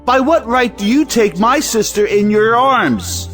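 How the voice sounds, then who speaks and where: An actor playing a domineering, hot-tempered moralizing brother in an audio play, commanding and blustering. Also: male voice, very high-pitched, animated, a little slow, a bit bright, soft background music, heavily acted. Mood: outraged. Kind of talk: audio play acting of an old stage drama.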